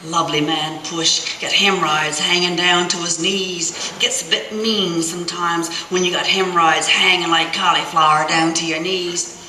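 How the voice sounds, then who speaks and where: A woman's voice: fairly low pitch, fairly even intonation, moderate pace, pushed and bright. A woman voicing a brash, teasing male oil-rig hand who talks in salty, folksy, emphatic dialect in a storybook reading. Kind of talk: dramatic literary reading. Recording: roomy, distant festival recording with faint noise.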